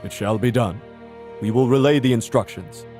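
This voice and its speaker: A man's voice: mid-range voice, normal speed, a little mellow, soft background music, close to an everyday voice. A man voicing a loyal, deferential retainer in a visual novel, formal and respectful in his speech.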